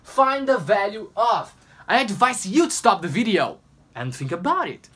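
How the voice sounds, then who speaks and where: A man's voice: high-pitched, sing-song, moderate pace, fairly bright tone, recorded from a distance. A young man from Brazil with a Brazilian Portuguese accent, Portuguese his first language, working a math problem on a whiteboard and playfully talking to himself. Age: young adult. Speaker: math tutor. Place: small room at a whiteboard. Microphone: camera mic at a distance.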